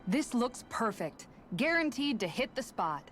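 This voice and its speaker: A woman voicing an earnest, idealistic young guardswoman in a video game, speaking sincerely and gently. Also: fairly high pitch, very animated, unhurried. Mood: pleased. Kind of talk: scripted video game dialogue. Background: game music.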